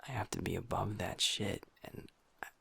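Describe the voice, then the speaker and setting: Brief: man playing a young werewolf beta, deep and very gravelly, breathy near-whisper, cursing, hard on himself. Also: steady tone, moderate pace, full character voice. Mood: frustrated. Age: young adult. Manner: soft, hushed, swearing, self-critical. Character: troubled young werewolf man, pack's second in command. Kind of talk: intimate audio roleplay.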